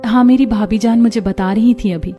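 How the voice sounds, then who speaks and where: A woman's voice: a little high, normal speed, dark tone, close to an everyday voice. A woman voicing a young woman in a cartoon family story. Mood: friendly. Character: young women of a neighbourhood, sweethearts and daughters-in-law. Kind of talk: cartoon voice acting.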